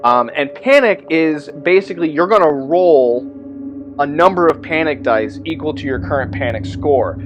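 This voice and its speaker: Higher-pitched man explaining game rules over music. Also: lively intonation, normal speed. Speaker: presenter reviewing tabletop roleplaying games.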